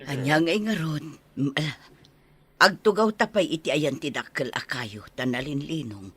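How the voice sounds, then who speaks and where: A woman's voice: low voice, animated, normal speed, husky. A woman playing an anxious middle-aged village mother in a radio drama, probing and fretful. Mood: conversational. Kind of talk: radio drama acting in Ilocano.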